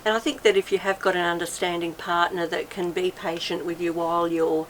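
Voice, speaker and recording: Woman host, slightly low voice, encouraging, faint background noise. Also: level tone, poor audio. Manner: encouraging, quick reactions, chuckly. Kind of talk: casual interview chat.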